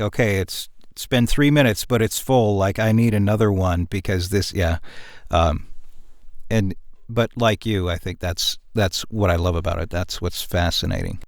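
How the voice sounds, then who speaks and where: Man, panel guest. Fairly low voice, considered and conversational, dry close recording. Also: no echo. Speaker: podcast panelist. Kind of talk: casual chat.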